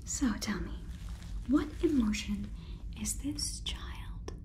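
A young woman in her twenties voicing a polite, condescending AI robot overlord in an ASMR roleplay, soft, deliberate and gently instructive. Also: a little high, animated. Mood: patient, encouraging. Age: 20s.

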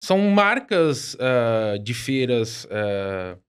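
A man in his forties, a guest on a business podcast in a studio, speaking haltingly with lots of fillers. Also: mid-range voice, big pitch swings, smooth. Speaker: guest, event founder. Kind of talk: casual interview chat. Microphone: studio mic on boom arm, headphones.

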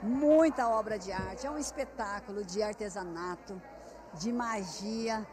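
A woman in her sixties with a Brazilian accent, interviewed at her craft fair on a reporter's handheld mic, warm and emotional. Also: medium pitch, measured pace, a crowd in the background. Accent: Brazilian Portuguese. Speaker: craft teacher, fair organizer. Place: large indoor craft fair hall.

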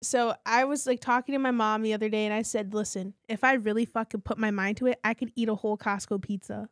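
Female podcast co-host, blunt and profane, fairly high voice, dry recording. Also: fairly even intonation, fast, dry recording. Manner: blunt, swears freely. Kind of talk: casual chat.

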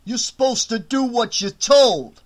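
A man acts out a bullying hotel supervisor who snarls threats at his staff. The voice sits high and hard-edged, and every line is pure contempt. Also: measured pace. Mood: contemptuous. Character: menacing brutish male hotel supervisor, bullying.

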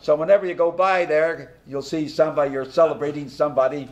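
A man in his nineties hosts a small studio talk show, seated at a table. He talks in a rambling, folksy way, full of fillers and restarts, with a voice a touch higher than most men. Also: steady tone, fast talker, darkish tone, dull audio. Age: 90s. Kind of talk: casual interview chat.

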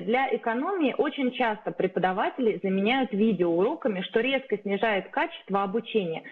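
A woman in her thirties delivers a prepared investor pitch in an even, rehearsed manner, with a few filler sounds. Her voice sits mid-range in pitch. It comes through a narrow, phone-like video call line. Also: husky. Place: indoor room, video call. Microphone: webcam or laptop mic on a video call.

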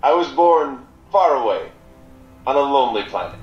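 A man playing a cryptic alien fence and trader in an audio drama, speaking in halting, deliberate riddles. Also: medium pitch, expressive, roomy sound, dull audio, soft background music, lightly acted. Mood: mysterious, scheming.

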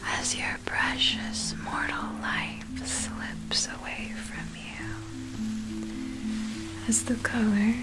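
Young woman as a predatory female vampire, hushed whisper, close-miked, deliberate, falsely soothing, ASMR monologue, music behind. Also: medium pitch. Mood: menacing, hypnotic. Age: young adult.

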